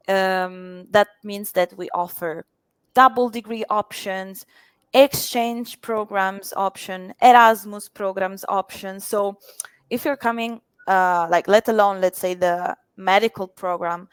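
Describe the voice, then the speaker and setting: A woman's voice: mid-range voice, clear, resonant tone, no echo. A woman whose first language is Italian speaks as a university representative in an interview, hesitating often with fillers. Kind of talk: interview answers.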